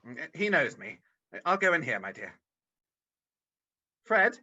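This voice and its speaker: A man playing an effusive old Victorian gentleman in a radio play read over a video call, excitable and theatrical. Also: on the high side, a little slow, exaggerated voice. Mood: gentle, eager. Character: old Scrooge, reformed miser, gleeful and effusive. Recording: dull video-call audio.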